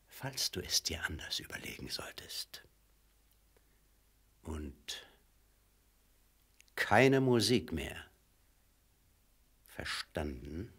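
An actor playing a menacing, coaxing crook in a radio drama, with a raspy voice that swings from murmurs to sharp bursts. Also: male voice, low voice, full character voice. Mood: quietly threatening. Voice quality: husky, raspy. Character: menacing male crook pressing a woman to betray her lover.

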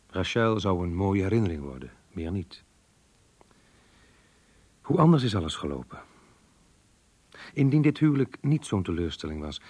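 A man's voice: deep voice, darkish tone, breathy edge, no echo, full character voice. An actor playing a disillusioned married schoolteacher confiding in his diary in a radio drama, introspective and weary.

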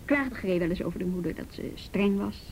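Brisk, persistent policewoman played by a woman, dark mellow voice, dry questioning, radio play with noisy rumble. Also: lightly acted. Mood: gently insistent. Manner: probing, matter-of-fact, dry. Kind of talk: radio drama acting.

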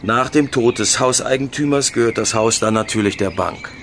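An actor playing a businesslike bank employee in a radio play. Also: male voice, medium pitch, normal speed, lightly acted. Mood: explanatory. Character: businesslike male bank employee, chatty with friends.

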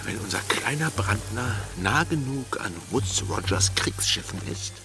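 A bold pirate with a wry streak, speaking slowly in a gravelly voice. It is dramatic radio-play acting, with music clearly behind him. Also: male voice, mid-range voice, animated. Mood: wry, scheming. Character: bold, wry male pirate, partner in crime.

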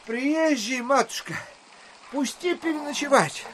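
An actor playing a polite, ingratiating gentleman traveller in an audio drama, courteous and wheedling. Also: extremely high pitch, unhurried, background noise. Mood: pleading.

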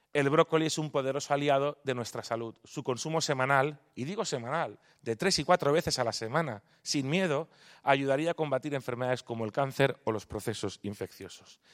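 A man from Spain giving a public talk on nutrition. He speaks emphatically, asks the audience rhetorical questions, and turns conversational when he takes questions afterwards. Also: medium pitch. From Spain (Palma de Mallorca). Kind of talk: public lecture, then answering audience questions.

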